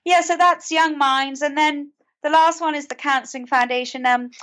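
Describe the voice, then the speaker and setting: Woman, British accent, slightly high voice, earnest, conversational interview guest, halting word repeats. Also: steady tone, fairly bright tone. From United Kingdom. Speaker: podcast guest, author and mental health advocate.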